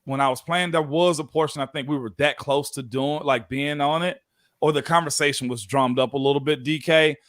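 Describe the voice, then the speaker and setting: Chill guy co-hosting a sports show, higher voice, kinda drawls his words, dry close mic. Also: steady tone, a bit bright, no room sound. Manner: laid-back, chatty, drawn-out phrasing. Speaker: co-host, former football player. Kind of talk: casual sports talk.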